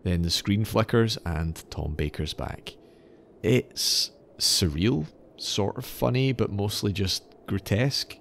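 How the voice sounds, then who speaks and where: A man hosting a podcast, telling stories in a semi-scripted, articulate and measured explanatory way. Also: fairly low pitch, gravelly, slight background noise. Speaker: podcast host. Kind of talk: semi-scripted storytelling narration.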